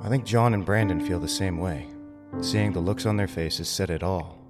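Low-voiced reflective actor as male survivor narrator. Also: normal speed, loud music behind the voice, lightly acted. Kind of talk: audio drama narration.

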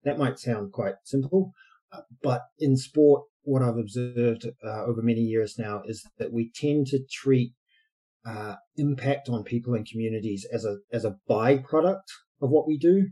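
A man with a New Zealand accent talks through his ideas in a podcast interview, pausing often on fillers as he builds each point. His voice is very dark and mellow, with a husky, slightly breathy edge. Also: mid-range voice, rough recording. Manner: thoughtful, explanatory, many fillers. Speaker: guest, basketball coach and social entrepreneur.

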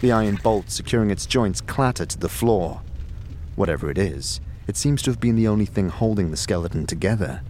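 A man's voice: on the low side, animated, a little fast, darkish tone, a little hiss or hum. A man narrating a scripted fantasy audio drama in a dramatic storytelling manner.

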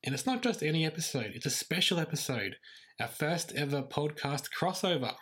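A man hosting a casual podcast, his voice croaky from illness, earnest and self-deprecating. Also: a little high, expressive, a little fast, fairly bright tone. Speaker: podcast host.

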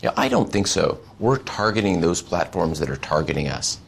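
Very deep, gravelly American military man. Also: very animated, normal speed. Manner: firm, emphatic, official phrasing. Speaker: US military official. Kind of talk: formal broadcast interview answers.

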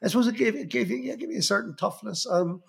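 Husky Belfast man telling old stories. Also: high voice, rapid-fire. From Northern Ireland. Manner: rambling anecdotal storytelling with many restarts. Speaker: guest, magazine journalist. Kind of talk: reflective interview talk.

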